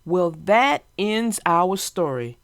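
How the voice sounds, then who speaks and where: A woman children's book narrator speaking expressively and deliberately, as if to young children. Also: on the low side, lively intonation, no room sound. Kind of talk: storybook read-aloud and casual chat.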